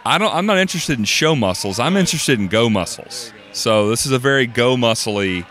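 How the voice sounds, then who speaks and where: A man in his thirties, a guest in a casual interview on a busy expo floor, with a low voice and an easygoing, joking way of talking. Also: lively intonation, a little fast, fairly bright tone. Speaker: guest, cookbook author.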